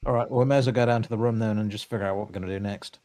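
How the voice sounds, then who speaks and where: A man's voice: lowish voice, fairly even intonation, very fast, mellow. A man taking part casually in a tabletop game as a player, giving brief, laid-back replies.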